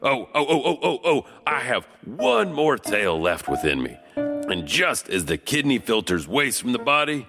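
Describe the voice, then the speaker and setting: Creepy, croaky janitor storyteller, swooping wildly. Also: male voice, mid-range voice, very animated, moderate pace. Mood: gleefully sinister. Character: gleefully creepy male janitor storyteller, mock-sinister. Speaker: horror podcast host and narrator. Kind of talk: theatrical horror anthology hosting. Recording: music under the voice.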